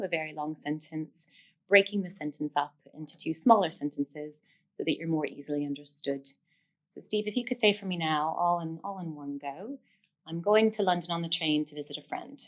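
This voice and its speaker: A woman's voice: low-pitched, normal speed. A woman giving step-by-step instruction as a speech therapist, explanatory and encouraging.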